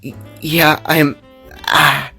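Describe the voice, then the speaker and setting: A man voicing an anxious pregnant woman guitarist in an audio drama, stammering and halting as she strains through pain. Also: a little high, little pitch movement, bright, forceful tone, breathy edge, faint music behind, voice pushed up, heavily acted. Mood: pained, uneasy.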